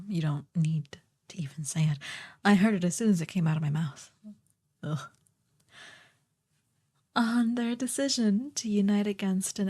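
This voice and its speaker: A woman playing a haughty, sardonic evil sorceress queen in a soft, breathy, imperious voice for a fantasy ASMR roleplay. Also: medium pitch, lightly acted. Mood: sarcastic disgust. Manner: imperious, theatrically disdainful, drawn-out delivery.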